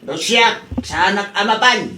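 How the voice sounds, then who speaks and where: An actor voicing an old husband in a radio drama who talks slowly and calmly. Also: medium pitch. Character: old husband who runs a rice store. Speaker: store owner's husband. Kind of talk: Ilocano radio drama acting.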